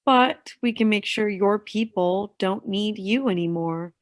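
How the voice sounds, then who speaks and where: A woman's voice: medium pitch, measured pace, no room sound, clean studio sound, lightly acted. An actress playing a tough, protective woman vigilante in a staged play reading. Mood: firm, defiant.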